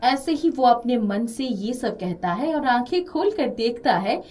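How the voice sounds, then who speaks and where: Fairly high female storyteller. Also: expressive, measured pace, darkish tone. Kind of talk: scripted narration of moral story.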